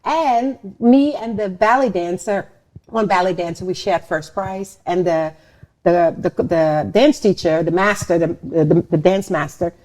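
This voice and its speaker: A middle-aged woman from Suriname and the Netherlands telling their story casually, often pausing and repeating words. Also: fairly low pitch, darkish tone. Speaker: show host and dancer telling their story.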